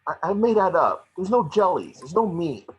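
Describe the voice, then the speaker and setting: A man voicing a small, jaded, guilt-ridden electric mouse detective with a husky voice, in a table read of a film script. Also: sits high, big pitch swings, moderate pace, heavily acted. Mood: guilty, insistent. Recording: dull, muffled home microphone.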